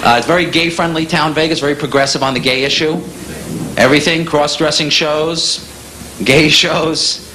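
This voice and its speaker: A man with a New York accent doing a stand-up comedy set, delivering punchy deadpan jokes with quick asides to the crowd. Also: a little high. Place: comedy club stage with a live audience. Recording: echoey live room with loud audience laughter.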